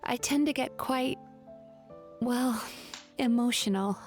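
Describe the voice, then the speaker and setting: A soft-hearted young woman from an animated game. Her voice is fairly high and unhurried, gentle and polite, with faint music underneath. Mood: calm, explaining. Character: gentle young female dream-eater therapist, composed, soft-hearted. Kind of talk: animated video game voice acting.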